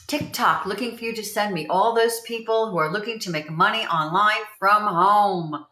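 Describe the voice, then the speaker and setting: A woman's voice: mid-range voice, pushed and bright. A woman in her seventies talking casually to livestream viewers in a chatty, rambling way, repeating key phrases. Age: 70s. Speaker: livestream host promoting online income. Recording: roomy, echoey sound.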